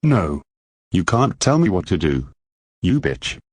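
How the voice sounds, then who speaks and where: A man playing a spoiled, foul-mouthed boy who sulks and defies in a low, husky voice. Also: sing-song, a little slow, a little mellow, no room sound, heavily acted. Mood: defiant. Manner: defiant, sulky, swearing.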